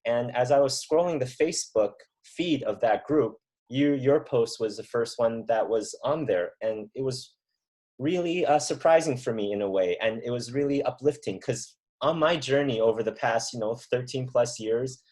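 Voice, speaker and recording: A man in his thirties from mainland China hosting a reflective conversation, talking in an earnest, unhurried way and thinking aloud with fillers and restarts. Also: mid-range voice, darkish tone. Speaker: podcast host.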